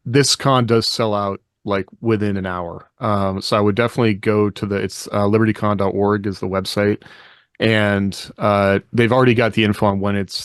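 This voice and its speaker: Low-voiced man chatting, close dry sound. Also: clear, resonant tone, no room sound. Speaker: podcast co-host. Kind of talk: casual chat.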